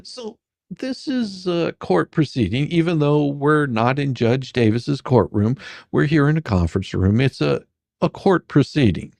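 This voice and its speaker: A performer doing a comedic dramatic reading as a rambling, halting, faux-folksy lawyer questioning a witness in a deposition. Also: medium pitch, expressive, gravelly, a little mellow, no room sound. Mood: rambling, formal. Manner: halting, repetitive, mock-sarcastic, stumbling over questions.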